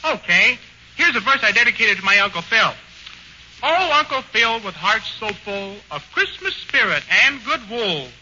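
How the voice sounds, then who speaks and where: A man's voice: high voice, very animated, moderate pace. A man with a naive, chirpy manner trading lines in a 1940s radio comedy. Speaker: singer and comic regular. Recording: dull noisy 1940s radio broadcast.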